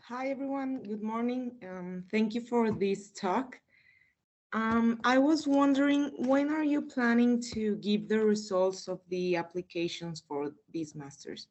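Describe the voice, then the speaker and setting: A woman's voice: on the high side, unhurried, a little mellow. A woman, a prospective student, asking a question on a video call. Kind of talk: asking a question in an online session. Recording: dull, muffled online call audio.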